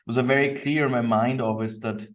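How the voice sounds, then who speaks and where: A man's voice: mid-range voice, steady tone, a little fast. A man from Austria speaking as a podcast guest, explaining himself in an easygoing, reflective interview style. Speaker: podcast guest, company co-founder. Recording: distant microphone.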